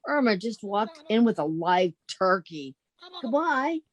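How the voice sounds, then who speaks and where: A woman's voice: medium pitch, very animated, measured pace. A woman acting in an old-time radio comedy as a sensible, theatrically exasperated young working woman with a wry manner. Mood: flustered, frantic.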